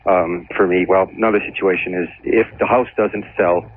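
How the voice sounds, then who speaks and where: A middle-aged man calling a radio show, talking politely in a measured, slightly hesitant way. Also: low voice, fairly even intonation, slight background noise. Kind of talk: polite phone conversation. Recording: phone line on radio broadcast.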